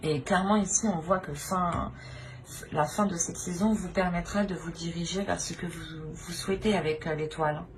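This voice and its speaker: A woman's voice: fairly low pitch, steady tone, normal speed. A woman giving an unscripted tarot reading to viewers, hesitant, repeating phrases and often tagging on a "hein". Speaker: tarot reader hosting her channel. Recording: noticeable background noise.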